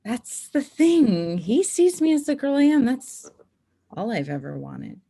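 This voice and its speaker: Woman acting earnest teenage girl, husky, crackly low register, drama read-through, dry sound. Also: sing-song, measured pace, mellow, dry recording, exaggerated voice. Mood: earnest, guarded. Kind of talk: screenplay table read.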